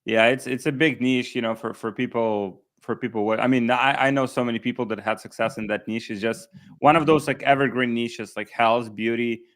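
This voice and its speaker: Man, host, casual interview chat, halting, fillers, mid-pitched. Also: steady tone, fairly bright tone. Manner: hesitant, many restarts and "like, you know". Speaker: host interviewing coaching clients.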